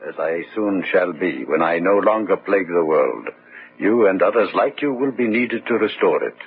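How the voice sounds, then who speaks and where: An actor playing the Red Death, a pitiless, solemn judge who pronounces doom in slow, commanding phrases in a gothic radio drama. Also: male voice, deep voice, animated. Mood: stern, commanding. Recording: muffled, noisy old radio drama recording.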